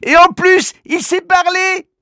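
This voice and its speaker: Shrill, grumpy little boy. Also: animated, moderate pace, gravelly, fairly bright tone, dry recording, clean studio sound, heavily acted. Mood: excited. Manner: shrill, quarrelsome. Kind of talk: children's storybook reading.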